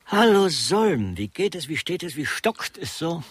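An actor in a radio play playing a cynical, blunt aging intellectual with mannered, learned phrasing. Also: male voice, on the high side, big pitch swings, measured pace, breathy edge, dry recording, full character voice. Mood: breezy, cynical. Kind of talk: German radio play acting.

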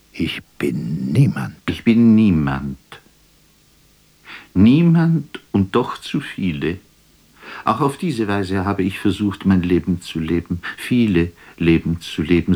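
A deep, slightly gravelly male voice a tormented theatre actor in a radio play. He delivers poetic monologue very slowly and theatrically, full of self-doubt and melancholy. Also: no echo, heavily acted. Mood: bitter, agitated, then grave, reflective. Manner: declamatory, poetic, swinging from hushed murmur to impassioned outcry.

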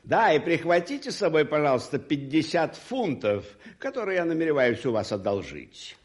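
An actor playing a haughty, curious aristocrat in a radio drama, with theatrical, imperious questioning and a husky voice. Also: male voice, a little high, very animated, normal speed. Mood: imperious, smooth.

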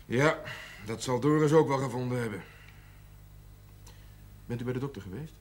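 An actor playing a sober, disapproving and dutiful young brother in a television period drama, dry and pointed. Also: male voice, mid-range voice, moderate pace, natural voice. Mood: concerned. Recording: faint noise, old television soundtrack.

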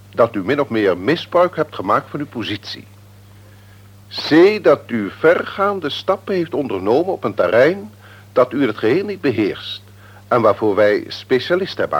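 Stern pompous company boss, raspy voice, very up and down delivery, old radio play. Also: male voice, mid-range voice, lo-fi sound. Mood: stern, reproving. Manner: pompous, officious, measured formal phrasing. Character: stern, pompous male company executive, a superior.

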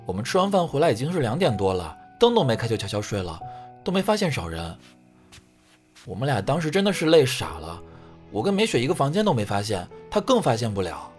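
A man's voice: mid-range voice, very animated, fairly quick, a little mellow, soft background music, natural voice. A man playing a cheeky, vain young idol group member with a playful, teasing manner in an audio drama. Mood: earnest.

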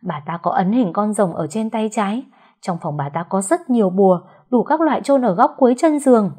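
A woman's voice: on the low side, steady tone, a little fast, slightly rough. A woman voicing a terrified young male servant who stammers in panic.

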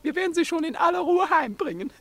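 An actor playing a crook posing as a sugary, polite old lady in falsetto for a radio play. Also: expressive, quick pace, creaky, bright, forceful tone, no room sound, exaggerated voice. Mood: sweetly pleading. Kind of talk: German radio play acting.

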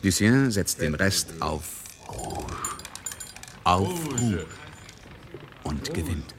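An actor narrating a radio drama in a theatrical, suspenseful and emphatic style. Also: male voice, sits low, expressive, drawn-out words, noisy background, full character voice. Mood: tense, suspenseful. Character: dramatic male storyteller following gamblers' fortunes. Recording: radio drama with crowd behind.

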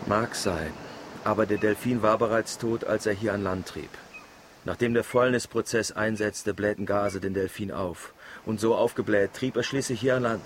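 An actor playing a dry, blunt, teasing village friend and drinking companion in a radio drama. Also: male voice, fairly low pitch, fairly even intonation, moderate pace, a little hiss or hum, lightly acted. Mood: matter-of-fact.

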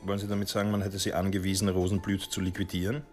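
An actor playing a wry, unruffled private detective in a radio crime drama, dry and laconic. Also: male voice, low-pitched, little pitch movement, rapid-fire, soft background music, close to an everyday voice. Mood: dry, calm.

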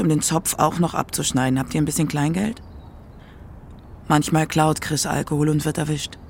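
A woman reading scripted radio documentary narration in a measured, matter-of-fact way. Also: low voice, a little hiss or hum.

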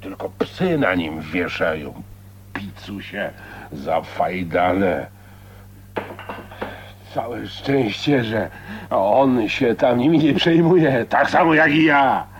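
A very low-voiced old rustic in a radio play, gruff and scornful. He grumbles and curses in country Polish dialect, slowly and with big swings of pitch. A faint hiss runs underneath. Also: lo-fi sound. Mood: scornful, grumbling. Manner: earthy, grumbling, cursing. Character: gruff, foul-mouthed old working man, scornful of respectable folk. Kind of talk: radio drama acting.

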